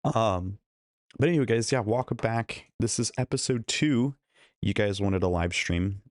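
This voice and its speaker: Man, hoarse from losing his voice, dry close sound, hotel room, studio mic on a laptop. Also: on the low side, unhurried, dry recording. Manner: chatty, greets viewers one by one. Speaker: live-stream host. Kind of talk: casual live-stream chat. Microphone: studio microphone plugged into a laptop.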